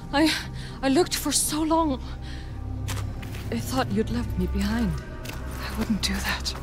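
A woman playing a guarded, determined young warrior in a video game, speaking breathily in short, halting, intense phrases. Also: on the high side. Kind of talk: video game dramatic acting. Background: music.

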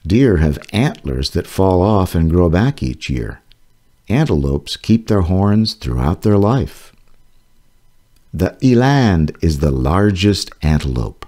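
A man reading his own children's nonfiction book aloud, slowly and carefully. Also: sits low, sing-song, mellow. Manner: slow, careful, clearly paced reading. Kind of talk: read-aloud narration for children.

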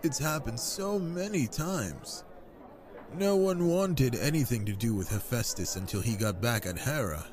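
Man acting flirtatious wine god, genial, smooth-talking, expressive swooping delivery, intimate audio drama. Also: medium pitch, a little slow, lightly acted. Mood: gossipy, amused.

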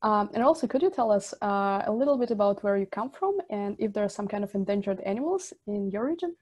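A woman's voice: mid-range voice, animated, fast talker, darkish tone. A young woman from Central Asia hosting a video call interview, asking friendly questions with many fillers. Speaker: interviewer host.

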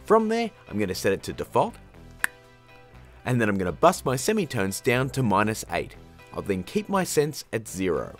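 A man hosting a casual, scripted software tutorial in a jokey, slangy, informal way. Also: fairly low pitch, wildly swooping pitch, moderate pace, soft background music. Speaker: tutorial host.

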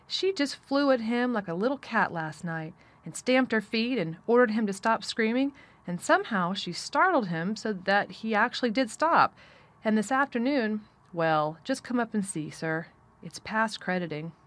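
A woman's voice: mid-range voice, animated, normal speed, a bit bright, voice pushed up, natural voice. Woman acting an emphatic, gossipy housekeeper. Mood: amazed. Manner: emphatic, talkative, respectful. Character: deferential, gossipy female housekeeper, full of amazement.

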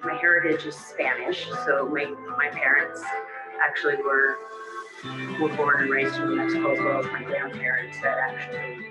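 A man speaking in a flat, steady way in an interview clip. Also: medium pitch, normal speed. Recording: roomy clip under loud music.

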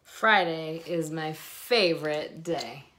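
A woman's voice: deep voice, slow pace, fairly bright tone. Woman reading a picture book over background noise. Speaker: read-aloud host. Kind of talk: children's picture book read-aloud. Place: indoors in a room at home.

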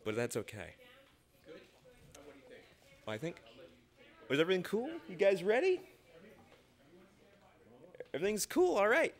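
A man's voice: sits high, wildly swooping pitch, measured pace, pauses often. A twenty-year-old man hosting a student radio theater show, rambling and joking in a self-deprecating way. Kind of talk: ad-libbed emceeing with jokes. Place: small studio. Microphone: standing microphone.

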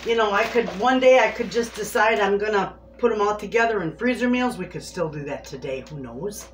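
A woman's voice: mid-range voice, expressive, a little fast. A woman chatting homily to her viewers as she preps food in her home kitchen. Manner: chatty, homey, talks straight to viewers. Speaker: homesteading cooking vlogger. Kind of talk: casual kitchen demonstration. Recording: echoey kitchen with clear background noise.

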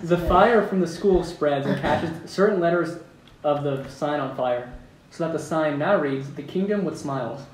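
A man with a slightly high voice reads out stage directions at an amateur table read, plainly and a little amused. He sits away from the mic in an echoey room, with faint noise behind him. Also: moderate pace. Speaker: narrator reading stage directions. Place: room at home around a wooden table. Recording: roomy, distant mic with background noise.

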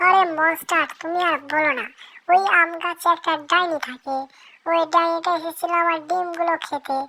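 Woman as a squeaky, fretful little mother bird. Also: steady tone, normal speed, vocal fry, sharp tone, heavily acted, low-quality recording. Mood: relieved, worried. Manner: fretful, complaining. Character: small worried mother tailorbird guarding her eggs. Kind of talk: cartoon dialogue.

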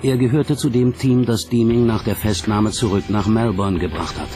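A man's voice: on the low side, fairly even intonation, moderate pace, over music. A man reading scripted documentary narration as the narrator.

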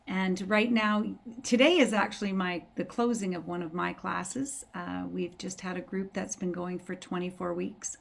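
A woman's voice: on the low side, little pitch movement, moderate pace, vocal fry, hardly any pauses. A woman hosting a casual online group discussion on a video call, as a course teacher.

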